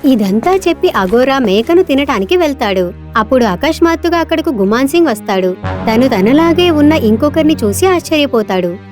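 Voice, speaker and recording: High-pitched woman narrating a story. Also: expressive, brisk, a little mellow, soft background music. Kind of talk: scripted children's story narration.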